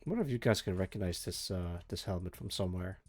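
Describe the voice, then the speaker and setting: A sleepy man chatting casually and mumbling to himself on a live stream while he works, with energetic music faintly behind him. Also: sits low, fast talker, no echo. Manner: soft, meandering, mumbles to himself, trails off. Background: faint energetic soundtrack music.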